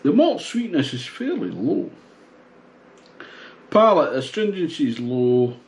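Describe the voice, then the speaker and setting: Slow-talking older man musing aloud. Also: on the high side, a little hiss or hum. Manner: relaxed, musing, thinks aloud with pauses. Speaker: beer reviewer. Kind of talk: casual tasting review. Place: small home bar room, indoors.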